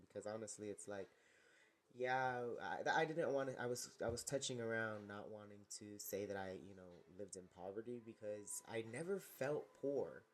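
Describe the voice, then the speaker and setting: A man thinking aloud in a reflective personal monologue, rambling and often restarting as he searches for words. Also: fairly low pitch. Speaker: vlogger.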